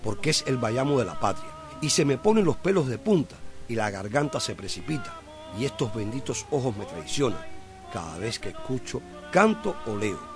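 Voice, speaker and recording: A man's voice: mid-range voice, very animated, normal speed, music in the background. A man hosting a radio show, reading a poetic text in an earnest, declamatory way. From Cuba. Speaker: radio host.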